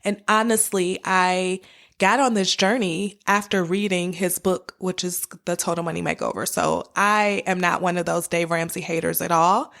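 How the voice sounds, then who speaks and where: Slightly raspy, lower-voiced woman podcaster with a cold. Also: fairly even intonation, normal speed, fairly bright tone. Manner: encouraging, direct address to listeners. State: has a cold with cough and sore throat. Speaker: podcast host. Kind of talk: personal finance podcast monologue, conversational coaching.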